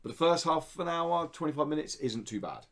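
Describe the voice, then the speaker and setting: A man's voice: fairly high pitch, very animated, fairly quick, vocal fry, fairly bright tone. Enthusiastic man chatting on a podcast. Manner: enthusiastic, rambling, informal. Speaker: podcast co-host. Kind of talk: casual gaming podcast chat.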